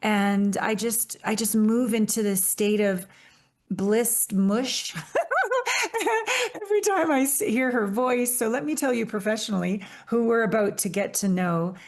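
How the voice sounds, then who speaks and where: A woman's voice: medium pitch, measured pace. A woman in her fifties, a podcast host, giving an effusive, gushing welcome and guest introduction. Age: 50s.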